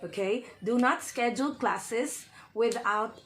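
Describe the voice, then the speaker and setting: A woman tutor with a hoarse voice, talking casually to her viewers and often checking in with "okay?". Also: a little high, slow, faint music behind. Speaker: reading tutor. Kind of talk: casual vlog talk to viewers.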